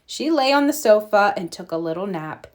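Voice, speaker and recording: A woman's voice: mid-range voice, expressive, moderate pace. A woman narrating a children's picture book as a storyteller reading aloud. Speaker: storybook reader.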